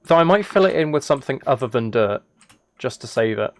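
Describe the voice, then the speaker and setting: A man chatting casually as a live game streamer, musing aloud in a relaxed, low-key way. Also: a little fast, a little hiss or hum. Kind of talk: casual chat over Minecraft gameplay.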